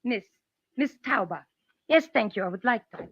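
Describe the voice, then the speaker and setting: A woman's voice: a little high, expressive, normal speed, breathy edge. An actress playing a polite, anxious young woman passenger in an old-time radio drama, speaking formally. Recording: muffled old radio show recording.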